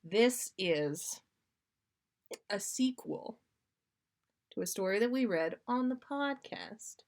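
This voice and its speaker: Slow-paced woman hosting a casual livestream. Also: mid-range voice, expressive. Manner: giggly, self-deprecating. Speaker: podcast host. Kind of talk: casual livestream chat between story readings.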